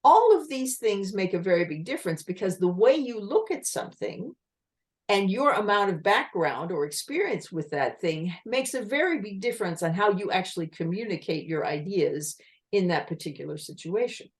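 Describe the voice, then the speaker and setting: A woman giving an online communication talk with slides, explaining in a lively manner and asking her listeners rhetorical questions over a video call. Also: medium pitch, normal speed. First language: English.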